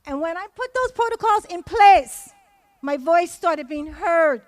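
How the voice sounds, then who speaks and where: A woman's voice: very high-pitched, expressive, unhurried. A woman speaks publicly as a community member. Her delivery is impassioned and emphatic, leaning on repeated phrases. The sound is dry, with very little echo. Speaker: community member giving testimony. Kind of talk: impassioned public speech at a memorial event.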